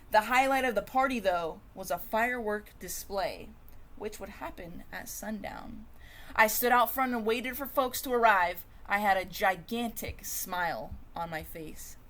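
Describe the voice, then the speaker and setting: A woman reading a children's storybook aloud in an expressive, dramatic way, with varied emphasis and pauses. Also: medium pitch. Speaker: storybook reader.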